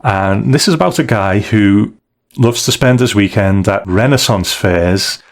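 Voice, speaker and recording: A man's voice: lowish voice, measured pace. A British man in a casual podcast chat, giving candid, conversational reviews of stories as a co-host. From United Kingdom.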